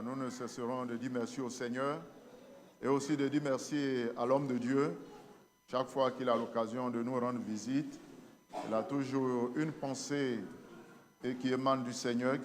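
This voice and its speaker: Church leader from Ivory Coast, mid-pitched man, noisy church recording. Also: fairly even intonation, moderate pace, big-room sound. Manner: grateful, plain-spoken. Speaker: church leader thanking the guest preacher. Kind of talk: church announcements. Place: church sanctuary.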